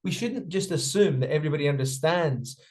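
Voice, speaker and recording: A man giving a spoken interpretation of a panel discussion over a video call. He speaks in a halting way, with frequent fillers and restarts. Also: on the high side, darkish tone. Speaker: sign language interpreter voicing deaf panellists.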